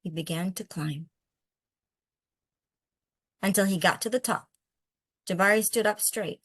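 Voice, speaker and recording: A woman's voice: mid-range voice, measured pace, fairly bright tone, dry recording, clean studio sound. A woman who hosts read-alouds of picture books and chats about them in an encouraging way. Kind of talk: picture-book read-aloud and casual discussion.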